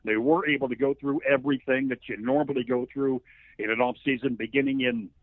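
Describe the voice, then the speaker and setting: A man's voice: medium pitch, fairly even intonation, fairly quick, no room sound. Phone-line older man, folksy sports storyteller. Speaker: radio play-by-play sports announcer, interview guest. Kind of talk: conversational sports interview.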